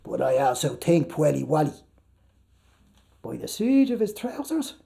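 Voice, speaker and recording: Stage reading role for a man: coy young female gossip, insinuating and drawn-out. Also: a little high, big pitch swings, vocal fry, mellow, voice pushed down, exaggerated voice.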